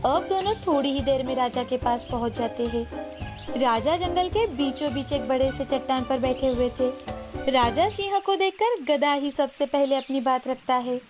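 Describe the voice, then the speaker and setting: A woman narrates a children's moral tale in a high-pitched voice. It is scripted storytelling, with music clearly audible underneath. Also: moderate pace.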